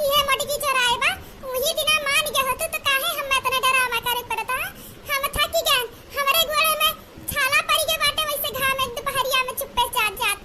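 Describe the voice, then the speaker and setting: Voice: very high voice, noisy background. A performer voicing a dramatic, scheming village woman in an Awadhi comedy cartoon, theatrical and full of exclamations and complaints. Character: dramatic scheming village women of a squabbling family. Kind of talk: exaggerated comic cartoon dialogue in rural dialect.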